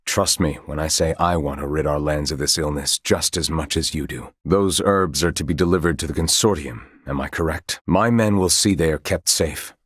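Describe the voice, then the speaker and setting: An actor playing a grave, dutiful military commander in a fantasy video game, speaking in formal, courtly phrases with measured care. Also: male voice, rumbling low, slightly rough, no room sound, clean studio sound. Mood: earnest, measured. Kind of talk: scripted video game dialogue.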